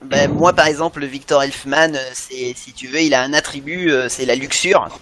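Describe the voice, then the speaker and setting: A man's voice: mid-range voice, fairly even intonation, normal speed, a bit bright, a little hiss or hum. A man chatting enthusiastically as a podcast co-host about things he loves, often repeating himself. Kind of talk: animated fan discussion of cartoons.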